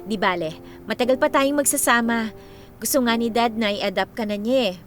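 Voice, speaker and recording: An actress playing a confident, playful, big-hearted young influencer in a radio drama, with a Filipino accent and a breezy mix of Tagalog and English. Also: female voice, a little high, moderate pace, natural voice. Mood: earnest. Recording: music bed under the voice. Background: music.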